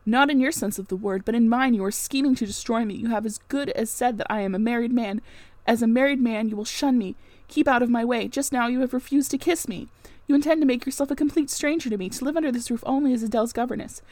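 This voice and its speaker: A woman reading a classic novel aloud, voicing an impassioned, brooding married gentleman in long theatrical speeches. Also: on the high side, quick pace, dry recording, close to an everyday voice. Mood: bitter, accusing. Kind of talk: dramatic audiobook-style reading of a classic novel.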